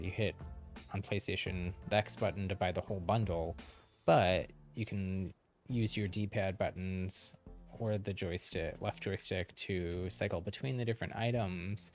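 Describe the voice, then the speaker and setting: A man with a fairly low voice and a strong vocal fry hosts a casual game stream. He talks in an easygoing, rambling way as he explains things to viewers. Music plays softly behind him. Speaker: game livestream host. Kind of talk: casual livestream chat.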